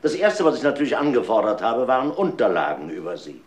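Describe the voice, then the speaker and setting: An actor playing an insistent, accusing police inspector in a German crime audio play, questioning with deliberate emphasis. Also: male voice, medium pitch, big pitch swings, lightly acted. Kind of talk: German radio crime drama acting.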